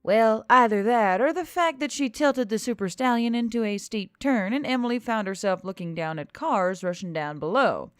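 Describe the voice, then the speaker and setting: A woman's voice: a little high, moderate pace, few pauses, clear, resonant tone, no echo, studio quality, soft background music. A woman reading scripted fiction as an audiobook narrator.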